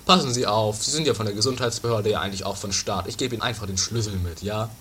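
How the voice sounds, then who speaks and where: Woman playing a very low-voiced, muddled prison guard. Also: quick pace, fairly bright tone. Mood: gullible, cautioning. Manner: officious, stumbling over words. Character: suspicious yet gullible, muddled prison guard. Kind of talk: amateur comedy radio play. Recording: noisy amateur 1980s tape.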